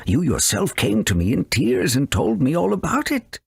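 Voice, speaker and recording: A man voicing a fussy, caring elderly owl with a raspy voice and theatrical delivery in a video game. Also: on the high side, wildly swooping pitch, normal speed, no echo, studio quality, full character voice. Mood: grave, sorrowful. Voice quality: raspy, creaky.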